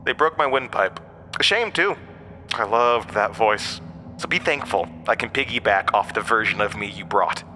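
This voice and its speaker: A man's voice: on the low side, expressive, moderate pace, bright, forceful tone, slight background noise. An actor playing a mysterious, mocking, weary undying male entity in a horror audio drama, speaking in theatrical, cryptic asides. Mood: taunting, sly. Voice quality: broken-windpipe voice, borrowed from another man.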